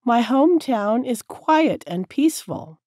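A woman teacher reading short sentences slowly and clearly, each one said several times for learners to repeat. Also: fairly high pitch, lively intonation, dark tone, no room sound, clean studio sound. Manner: careful, clear enunciation. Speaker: English teacher. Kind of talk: slow repeated drill sentences.

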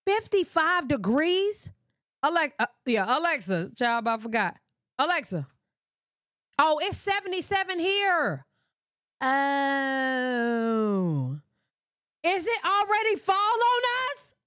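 A woman in her thirties hosting a livestream, reacting and talking straight to her viewers in a sassy, animated way. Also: high-pitched, slow pace, no echo, clean studio sound. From Michigan. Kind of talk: casual livestream reaction commentary. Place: bedroom streaming setup with pink lights. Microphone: desk mic in front of her.

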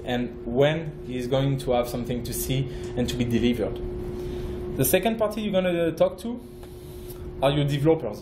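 A man from France with a French accent, giving a conference talk as a project manager, halting, with many fillers and restarts. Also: fairly high pitch, reverberant, noisy background. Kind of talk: conference presentation. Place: conference room.

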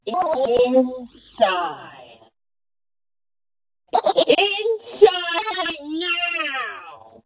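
A woman voicing a playful, volatile digital glitch cat for game-style voicelines, husky and theatrical. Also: high-pitched, darkish tone, full character voice, rough recording. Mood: bossy, angry. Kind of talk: video game character voicelines.

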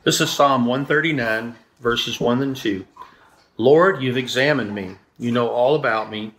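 Male, fifties, mid-range pitch, children's devotional reader reading from the page. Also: a little slow, fairly bright tone, slight background noise, rough recording. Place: indoors in a room at home, seated at a table.

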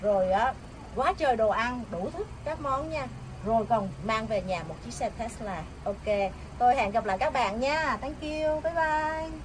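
A woman's voice: a little high, unhurried. A middle-aged woman chatting enthusiastically as she promotes a community event in a casual vlog. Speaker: vlogger announcing a community event. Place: outdoors at a building's glass entrance. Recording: noisy outdoor camera audio.

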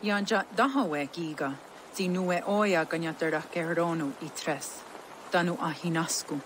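An actress playing a calm village woman in a video game cutscene. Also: lowish voice, normal speed, noisy background, lightly acted.

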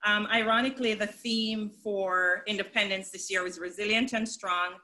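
A woman's voice: medium pitch, steady tone, moderate pace, bright, forceful tone, poor audio. A middle-aged woman, a show's creator, speaking enthusiastically about her series in a video-call interview. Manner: enthusiastic, promotional. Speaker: show creator and executive producer.

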